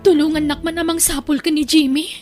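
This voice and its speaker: High-pitched, emotional Ilocano woman acting a deceived sister. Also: steady tone, a little fast, faint music behind, lightly acted. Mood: anxious, upset. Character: trusting, hardworking older sister, deceived and heartbroken. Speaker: actress in an audio drama.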